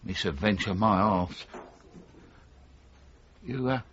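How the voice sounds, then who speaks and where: An actor in a radio drama playing a surly, wary getaway driver who talks in a blunt, gruff, defensive way. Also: male voice, on the low side, slow pace, fairly bright tone, heavily acted. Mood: guarded, insistent. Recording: dull, slightly muffled 1980s radio broadcast.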